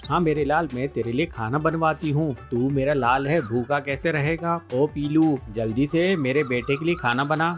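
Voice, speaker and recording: A spiteful cartoon stepmother, voiced by a man, nags and scolds in a somewhat high male register. Music plays softly behind the voice. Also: normal speed, few pauses, natural voice. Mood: doting. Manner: nagging, scolding. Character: spiteful, scolding stepmother who dotes on her son.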